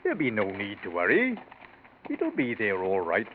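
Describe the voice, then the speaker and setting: A man's voice: fairly low pitch, wildly swooping pitch, normal speed. A devoted country manservant in an old radio play, speaking deferential Yorkshire dialect. His voice rises and falls sharply in protective concern, through a narrow, noisy vintage recording. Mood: anxious, protective. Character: loyal, protective rustic male servant. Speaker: actor. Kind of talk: 1940s radio acting.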